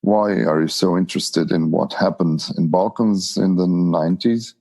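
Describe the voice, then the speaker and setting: Male interviewer; low, gravelly, mellow voice, formal and courteous. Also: steady tone, normal speed. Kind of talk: podcast interview questions.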